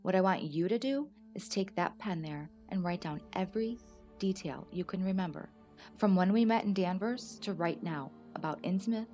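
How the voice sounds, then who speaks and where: A woman acting in a radio play as a concerned, steady federal agent, questioning and reassuring. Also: fairly low pitch, normal speed, faint music behind, close to an everyday voice. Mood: businesslike.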